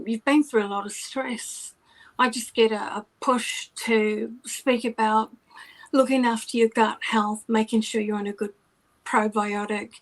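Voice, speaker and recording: A woman with a soft voice giving a psychic reading, affectionate, sometimes dropping to a whisper. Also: fairly high pitch, low-quality recording. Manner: warm, affectionate, drops to whispers. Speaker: psychic reader.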